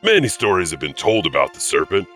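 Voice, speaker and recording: An actor playing an eerie, teasing horror anthology host, narrating in a sly, theatrical, ominous way. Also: male voice, low voice, wildly swooping pitch, fast, a bit bright, faint music behind, exaggerated voice. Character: eerie, teasing male horror-tale host who chuckles. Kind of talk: theatrical spooky scripted narration.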